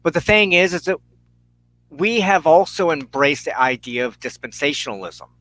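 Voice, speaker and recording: A man preaching an impassioned monologue as a spiritual show's host, emphatic and deliberate, with dramatic pauses and repeated phrases. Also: on the high side, lively intonation, pushed and bright, no room sound, rough recording.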